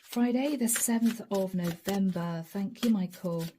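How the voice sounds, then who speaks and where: Middle-aged woman doing a tarot reading, warm low-key tone, kinda hoarse, half whispering. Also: unhurried, slight background noise. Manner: soft, intimate, often drops to a whisper. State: hoarse from a long session. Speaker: tarot reader. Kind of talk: channeled tarot reading to camera.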